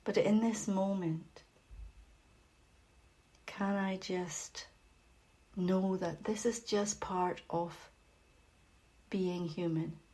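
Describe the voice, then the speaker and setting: Very slow, gentle woman guiding meditation. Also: medium pitch, fairly even intonation. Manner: gentle, unhurried, with long pauses. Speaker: meditation facilitator. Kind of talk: guided meditation.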